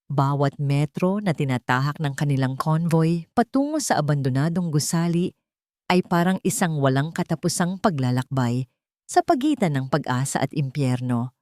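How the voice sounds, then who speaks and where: A woman's voice: sits low, expressive, normal speed, darkish tone, dry recording, studio quality. A woman reading a scripted, dramatic audio story as a storyteller.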